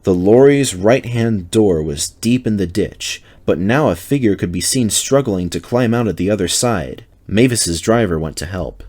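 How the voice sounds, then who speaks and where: A man's voice: lowish voice, expressive, normal speed, few pauses, darkish tone. A man reading a children's story aloud as narrator, in a scripted, dramatic storytelling style.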